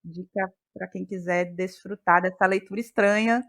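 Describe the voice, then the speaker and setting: A woman's voice: medium pitch, steady tone, moderate pace. Thoughtful Bahian woman, searching for words. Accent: Bahian Brazilian. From Bahia, Brazil. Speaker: guest, playwright and actress. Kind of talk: reflective conversational interview.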